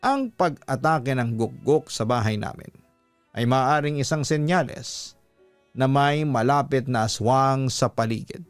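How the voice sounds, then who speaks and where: A man's voice: mid-range voice, a little slow, clear, resonant tone, no echo, faint music behind. A man with a Filipino accent narrating a scripted first-person story as a storytelling host. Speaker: horror story channel narrator reading a listener's letter.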